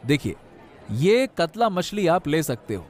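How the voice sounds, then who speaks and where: A man acts out a humble village fishmonger in a cartoon moral tale. His voice is high and very animated. He speaks with coaxing, pleading deference to his customers. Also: unhurried, a faint crowd. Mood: eager, coaxing. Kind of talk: Hindi cartoon moral story dialogue.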